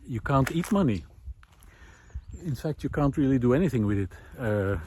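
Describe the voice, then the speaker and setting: A man giving an unscripted, reflective philosophical talk while walking, explaining ideas in a halting, thoughtful way with frequent pauses. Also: mid-range voice, expressive, mellow, no room sound. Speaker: host of a walking talk series. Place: outdoors, walking on a country road. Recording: outdoor recording with clear background noise.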